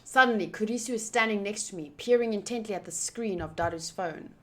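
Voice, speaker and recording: A woman streaming a visual novel, reading it aloud deliberately with pauses and chatty asides. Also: medium pitch, pushed and bright. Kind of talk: playthrough narration with chatty asides.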